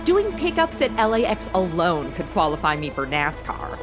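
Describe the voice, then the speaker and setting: A woman's voice: on the low side, very animated, normal speed, music in the background. A woman playing a sassy, exasperated modern young secretary in a comedy audio drama, sarcastic and casual. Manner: sarcastic, indignant, contemporary casual phrasing.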